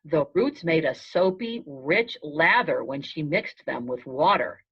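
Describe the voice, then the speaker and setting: A woman narrating a children's storybook aloud in the first person, in a measured storytelling manner. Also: low voice, lively intonation, fairly bright tone, breathy edge. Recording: dull, lo-fi sound.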